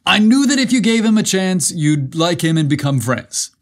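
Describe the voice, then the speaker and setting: A man's voice: high voice, sing-song, moderate pace, voice pushed up. A man playing an affectionate, mischievous bro-type groom. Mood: sheepish, sly. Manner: casual dude talk.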